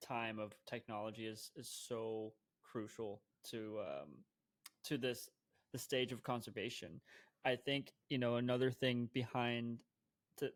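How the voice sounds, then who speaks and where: Halting podcast co-host, flat delivery. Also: male voice, mid-range voice, dry recording. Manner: rambling, halting with many restarts. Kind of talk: casual podcast interview.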